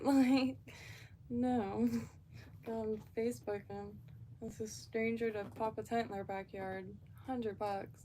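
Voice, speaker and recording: A woman's voice: a little high, dark tone, a little hiss or hum. Rambling Florida woman on a stream. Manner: rambling, many fillers and restarts. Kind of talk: casual live-stream chat.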